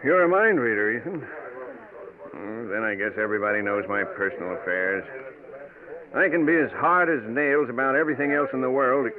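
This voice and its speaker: An actor playing a despondent, self-pitying hard drinker in a vintage radio drama. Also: male voice, mid-range voice, expressive, fairly quick, lightly acted. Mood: gloomy, confiding. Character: despondent, hard-drinking man trapped by unwanted love. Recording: tinny vintage radio, crowd behind.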